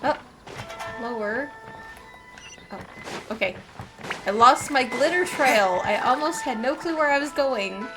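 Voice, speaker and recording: A woman's voice: sits high, lively intonation, unhurried, long pauses, rough recording. A woman giving casual, chatty video game playthrough commentary, thinking aloud over game music.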